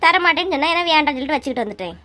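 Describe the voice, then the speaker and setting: A woman's voice: high-pitched, lively intonation, racing, sharp tone. A woman voicing a grumbling, easily offended middle-aged village woman in a cartoon, with a Kanyakumari Tamil accent. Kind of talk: cartoon comedy dialogue.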